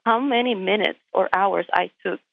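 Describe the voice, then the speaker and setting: A woman's voice: mid-range voice, moderate pace, vocal fry. A woman with a Puerto Rican accent, whose first language is Spanish, is a guest on an interview by phone. She makes her case directly and with emphasis, often asking rhetorical questions. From Puerto Rico. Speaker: truck driver, interview guest. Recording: tinny phone line.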